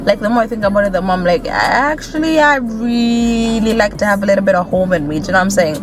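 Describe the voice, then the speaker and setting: A woman's voice: medium pitch, lively intonation, quick pace. Chatty Kenyan woman in a noisy car. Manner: chatty and candid, many fillers. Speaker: vlogger. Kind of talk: casual chat while driving. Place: in a car on city streets. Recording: loud road noise in a car.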